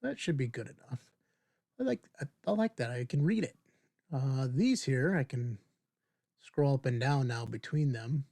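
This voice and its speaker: A man in his fifties hosting a casual screen-share software tutorial, informally thinking aloud as he demonstrates. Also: mid-range voice, expressive, moderate pace, gravelly, dry recording. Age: 50s. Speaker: video tutorial host.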